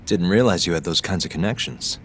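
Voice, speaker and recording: An actor voicing a weary, sardonic detective in a video game, with a dry, understated delivery. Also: male voice, low-pitched, brisk, slight background noise. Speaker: detective, main character. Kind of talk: video game dub acting. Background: music.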